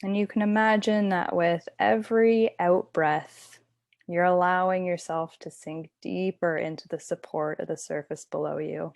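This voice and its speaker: A woman teaching yoga nidra, giving guided relaxation instructions in a soothing, unhurried, gentle way. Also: lowish voice, fairly even intonation, gravelly, dry recording.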